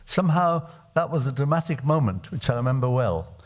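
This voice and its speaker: A man's voice: mid-range voice, lively intonation. Elderly Czech-born male legislator, testimony speech in a chamber, mid-range voice, hesitant with restarts. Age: older. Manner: reflective, halting with many restarts. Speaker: parliamentarian. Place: assembly chamber.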